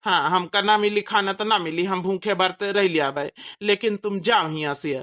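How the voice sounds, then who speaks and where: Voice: mid-range voice, normal speed, fairly bright tone, lightly acted. A performer voicing a put-upon, resigned village husband with an Awadhi accent, plaintive and fussing, in a family comedy cartoon. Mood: resigned, hurt.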